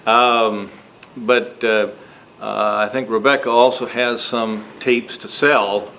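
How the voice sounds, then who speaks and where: Male meeting chair, mid-pitched, casual and halting, speaking to a room with some background noise. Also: fairly even intonation, pushed and bright. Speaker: club meeting host. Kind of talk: informal meeting announcements. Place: meeting room, before a group. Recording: noisy live meeting room recording.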